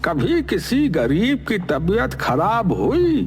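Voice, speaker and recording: A man's voice: high voice, wildly swooping pitch, slow pace, full character voice. A man playing a chatty older village headman in a cartoon story, rustic, familiar and nosy. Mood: folksy, emphatic. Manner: rustic, familiar, inquisitive, emphatic. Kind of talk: Hindi cartoon story acting. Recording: reverberant hall sound with faint music.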